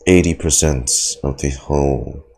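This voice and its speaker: A woman's voice: rumbling low, measured pace, warm tone, a little hiss or hum. A woman doing casual, playful live reaction commentary on a video game, slangy and prone to swearing. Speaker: gaming reaction streamer. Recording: game music behind the voice.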